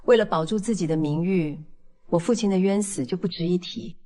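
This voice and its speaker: A woman's voice: lowish voice, brisk, dark tone, close to an everyday voice. Actress doing a scornful young woman villain in a drama, cool and taunting, no remorse. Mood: cold, scornful. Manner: contemptuous, coolly taunting. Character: cold vengeful young woman criminal, scornful, unrepentant. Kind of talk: dramatized audio fiction acting.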